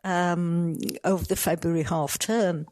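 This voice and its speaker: Woman guest, low dry voice. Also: steady tone, measured pace, darkish tone, no room sound. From North Wales. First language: Welsh. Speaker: guest poet. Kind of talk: radio interview. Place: radio studio.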